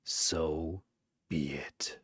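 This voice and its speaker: A narrator playing a solemn, weary angel warrior with a hushed, resigned delivery in a fantasy story. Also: very deep voice, fairly even intonation, drawn-out words, breathy edge, dry recording, studio quality, pitched below the performer's own voice, exaggerated voice.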